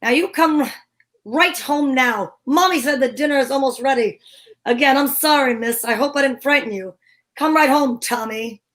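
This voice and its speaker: Smooth-talking fake dad for radio drama, woman acting him: courteous, apologetic, high-voiced. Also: moderate pace, a bit bright. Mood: apologetic, polite. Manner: polite, apologetic. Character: smooth-talking man posing as apologetic father. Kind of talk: old-time radio play acting.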